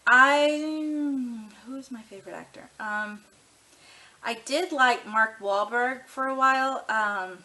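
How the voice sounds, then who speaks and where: An easygoing woman in her thirties chats to camera in a rambling, frank way, full of ums and you-knows. Her voice sits a touch high, with a bright, forceful edge. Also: takes it slowly. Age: 30s. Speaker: vlogger doing a tag video. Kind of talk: casual chat to camera.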